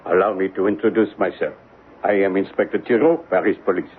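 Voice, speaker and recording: A man playing a grave, formal police inspector questioning deliberately, in old-time radio drama style. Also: low-pitched, over loud music, lightly acted. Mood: grave, official. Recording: muffled phone-like old radio broadcast.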